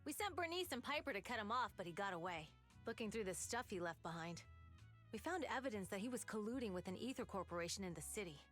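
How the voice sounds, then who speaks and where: A woman's voice: medium pitch, expressive, fast, fairly bright tone, lightly acted. A woman playing determined biker gang leader, young, bold, casual, game dialogue with music. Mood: frank. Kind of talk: video game voice acting.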